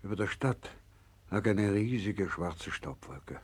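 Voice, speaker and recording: Grave doctor survivor in a 60s german radio play, deepish rough-edged voice, solemn and commanding. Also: male voice, lively intonation, heavily acted. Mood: grave, stunned. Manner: solemn measured narrating, urgent commanding in dialogue. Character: Japanese doctor and professor, grave, resolute survivor of disaster. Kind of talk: 1960s German radio drama acting, first-person narration. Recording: 1960s vinyl radio play record.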